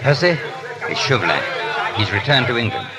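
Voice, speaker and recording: An actor playing an anxious, urgent gentleman confidant in a 1930s radio drama. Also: medium pitch, sing-song, fairly quick, natural voice. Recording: old radio recording, loud crowd behind.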